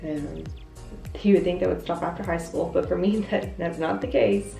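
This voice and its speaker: A young woman talks through a makeup routine in a chatty, self-deprecating way, her voice stuffed up with congestion. It sits low and warm, with a gravelly edge. She is a little off-mic in an echoey bathroom, with faint music underneath. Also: fairly even intonation, moderate pace. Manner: chatty, self-deprecating, explains each step. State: congested, voice lower than usual. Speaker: beauty vlogger. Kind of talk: casual makeup tutorial. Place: hotel bathroom.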